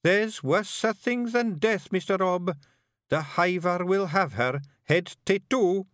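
A man's voice: high-pitched, slow pace, fairly bright tone, dry recording, clean studio sound, voice pushed up. A man voicing a very young, small fairy-folk bard, earnest, anxious and respectful, with a Scottish accent in a storybook. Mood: grave warning. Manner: earnest, nervous, deferential.

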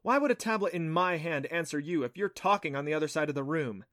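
A man voicing a bold, quick-witted young female adventurer with a brisk, challenging, certain manner in a fantasy story. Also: on the high side, expressive, a bit bright, no room sound, clean studio sound, pitched above the performer's own voice. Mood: skeptical.

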